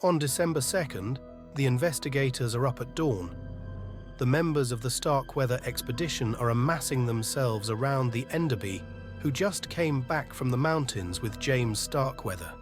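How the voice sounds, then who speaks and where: A man reads scripted audio drama narration. His mid-pitched voice tells the story in an atmospheric, literary way, with faint music behind it. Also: normal speed.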